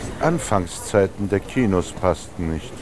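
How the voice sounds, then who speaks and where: A man's voice: low voice, animated, measured pace, crowd noise. An actor playing a lonely, reflective man narrating his thoughts in a radio drama, with a dry literary delivery. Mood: pensive. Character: lonely young scribe, musing narrator wandering through rainy Munich. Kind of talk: introspective radio drama monologue.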